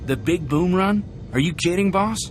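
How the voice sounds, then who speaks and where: An actor playing a cocky, eager young gunslinger sidekick in a video game, folksy and plain-spoken. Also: male voice, high voice, expressive, normal speed. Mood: cautioning. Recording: clear music behind the voice.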